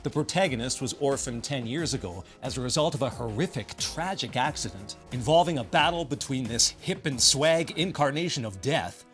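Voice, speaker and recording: A man narrates a scripted video essay on games with wry, sardonic emphasis. His middle-pitched voice moves in lively swings over faint background music. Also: normal speed, hardly any pauses. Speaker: video game commentator.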